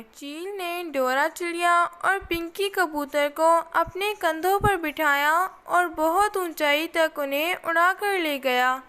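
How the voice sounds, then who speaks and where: A woman with a high voice narrates a children's story. She reads the script at a slow, unhurried pace, as the storyteller of a cartoon tale. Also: a bit bright.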